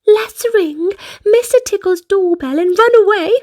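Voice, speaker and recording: A woman's voice: extremely high pitch, unhurried, a little mellow, pitched above the performer's own voice. A woman voicing a mischievous, giggly little girl creature from a children's storybook, gleeful and exclaiming.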